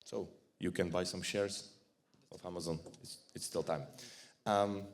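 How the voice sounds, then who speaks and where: A man with a Czech accent giving a joking, blunt conference talk, with frequent restarts and a fairly low voice. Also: fairly even intonation, unhurried. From Czech Republic. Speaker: conference speaker. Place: conference hall.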